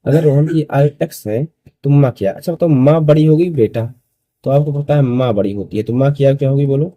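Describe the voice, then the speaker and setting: A man's voice: medium pitch, brisk, dry recording, dull audio. A man in his early twenties teaching school maths step by step, repeating phrases and asking questions that he answers himself. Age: early 20s.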